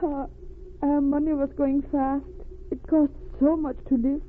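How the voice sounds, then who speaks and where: A haunted refugee wife tells of her ordeal. Her phrasing has foreign word order, and the grief sits in every pause. It is vintage radio drama, narrow and tinny with a light layer of noise. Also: high-pitched, flat delivery. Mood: anguished. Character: distraught refugee wife haunted by a smuggler's crime. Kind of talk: old radio drama acting.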